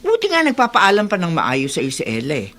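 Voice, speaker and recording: An actress playing a frank, kindly neighbour woman in a Filipino radio drama, chatty and gently chiding. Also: on the low side, wildly swooping pitch, fairly quick. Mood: chiding, friendly. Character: frank, kindly neighbour woman who chides her friend.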